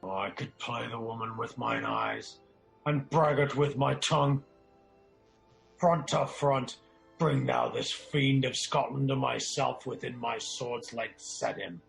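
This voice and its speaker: Male actor as a solemn, emotional warrior noble for classical radio tragedy; gravelly, unhurried, with music underneath. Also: medium pitch, steady tone. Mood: anguished, resolute. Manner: slow, emotional, dramatic verse delivery. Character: Macduff, a grief-stricken Scottish nobleman turned vengeful. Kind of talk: Shakespearean radio drama acting.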